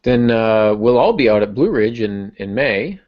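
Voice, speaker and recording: A man's voice: fairly low pitch, lively intonation, unhurried, highs cut off. A man hosting a podcast in a loose, jokey, casual chatting style. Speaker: podcast host.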